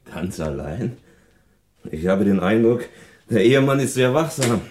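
An actor playing a cautious police assistant in a 1960s German radio crime drama, measured and questioning. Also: male voice, on the low side, lively intonation, darkish tone, a little hiss or hum. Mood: puzzled.

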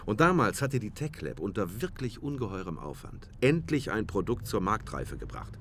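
A man's voice: lowish voice, big pitch swings, normal speed, a little hiss or hum. A man playing a self-assured, wry company boss in a naturalistic radio drama, articulate and somewhat formal. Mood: earnest, bitter.

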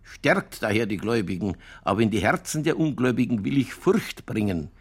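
An actor playing a stubborn, provocative Bavarian police detective in a German radio crime drama, holding forth in colloquial dialect with a husky, gravelly voice. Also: male voice, on the low side, lively intonation, brisk. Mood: declaiming, insistent.

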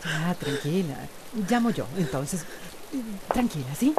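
An actress playing a bold woman hairdresser in a radio drama, soothing and expressive. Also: sits low, takes it slowly. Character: bold, independent woman hairdresser, calming and reassuring. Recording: clear rain-like noise behind.

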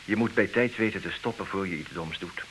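A man's voice: low-pitched, fairly quick, fairly bright tone, a little hiss or hum, rough recording. A man playing a hesitant, resigned betrayed husband who stammers and repeats words, in in an old radio play. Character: hesitant, resigned husband who knows of his wife's infidelity. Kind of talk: old Dutch radio drama acting.

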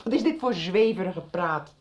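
A middle-aged mother in a radio soap, acted by a woman, worried and disapproving. She is firm yet hesitant, with a swooping, soft-toned voice in a slightly dull recording. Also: on the low side, animated, normal speed, lightly acted. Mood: worried, reproachful. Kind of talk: 1990s radio drama acting.

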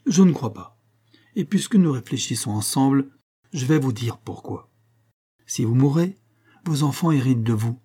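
A man's voice: medium pitch, animated, moderate pace, long pauses, dark tone, husky. A man voicing a cruel, mocking husband in a dramatic audiobook, sneering and coldly domineering. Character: cruel, mocking estranged husband, menacing and smug.

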